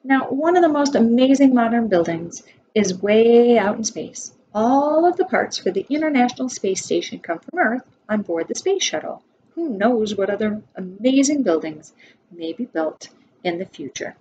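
A woman's voice: a little high, big pitch swings, normal speed, dark tone. An American woman in her forties reading a children's nonfiction book aloud as a preschool teacher, instructive, with asides to her listeners. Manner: instructive, asks listeners questions. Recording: dull lo-fi webcam sound, background noise.

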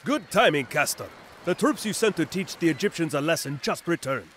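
Scheming smug villain sidekick, highish voice, game cutscene, noisy effects behind. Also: male voice, lively intonation, brisk, a bit bright. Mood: sly, obliging. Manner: smug, sly. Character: scheming treacherous lieutenant, smug and sly villain. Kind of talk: dramatic video game voice acting.

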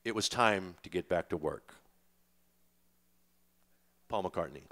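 Bright-voiced older American man reading aloud. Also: low-pitched, lively intonation, slow pace. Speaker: author and musician reading from his memoir. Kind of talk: live book reading to an audience. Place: stage in a small dim music venue. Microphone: vocal mic on a boom stand, close.

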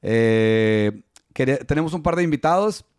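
A man hosting a casual podcast from a toy-filled collectibles room, joking and rambling with lots of fillers, speaking into a boom mic. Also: lively intonation, moderate pace, darkish tone, smooth, dry recording, clean studio sound. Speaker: podcast host. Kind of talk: casual chat. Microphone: studio mic on boom arm.

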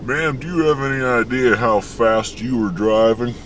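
A man's voice: medium pitch, moderate pace. A man playing a stern state trooper, officious and a little hesitant, in a homemade comedy video. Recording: distant mic with background noise.

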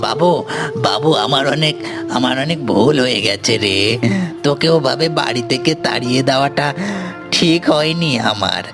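Cartoon father role male actor: gravelly, emotional, doting villager, repetitive pleading delivery, music underneath. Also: mid-range voice, expressive, normal speed, lightly acted. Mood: pleading, remorseful. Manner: pleading, repeats words. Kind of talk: melodramatic cartoon voice acting.

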